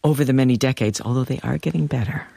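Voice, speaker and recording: A woman's voice: rumbling low, fast talker, gravelly, mellow, breathy edge, no echo. An older woman, a cultural critic giving wry opinions as a guest. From United States. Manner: wry, laughs while talking. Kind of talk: opinionated interview talk.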